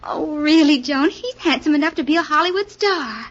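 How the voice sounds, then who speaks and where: A woman's voice: sits high, expressive, normal speed. An actress playing an infatuated, impulsive young woman in 1940s radio drama, with a gushing, breathless manner. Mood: gushing, dreamy. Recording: dull 1940s radio recording.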